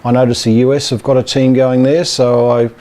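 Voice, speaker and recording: A man's voice: medium pitch, little pitch movement, brisk, warm tone. A man with an Australian accent giving a casual, hesitant, rambling update as an amateur radio club presenter.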